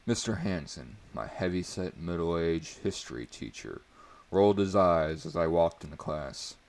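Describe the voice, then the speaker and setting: A man performs a dramatic reading of a story in a very low voice with heavy creak. He delivers the first-person prose deliberately and emphatically, with a mock-serious, theatrical air. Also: expressive, darkish tone. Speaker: reader performing a book chapter.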